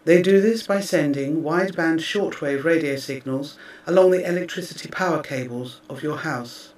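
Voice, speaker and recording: A man reading scripted narration in a measured, formal way, as if delivering a press release. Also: a little high, darkish tone. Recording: roomy, distant microphone.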